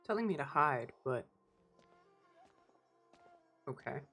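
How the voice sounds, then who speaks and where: A streamer talks casually over a video game, half to themself and unsure. The voice has plenty of fry, with faint music behind it. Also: mid-range voice, expressive, slow, sharp tone. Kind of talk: casual gameplay commentary.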